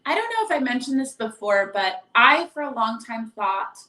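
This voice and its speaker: Hoarse, higher-voiced woman, echoey room. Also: big pitch swings, normal speed, sharp tone. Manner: effusive, affectionate greetings and endearments. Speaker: tarot reader hosting a livestream. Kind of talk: casual live chat reading viewer comments. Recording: echoey, roomy live stream.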